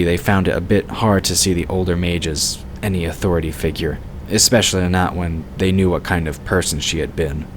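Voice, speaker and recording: A man with a deep voice delivers scripted fantasy narration. There is clear noise and ambience behind his reading. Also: rough recording.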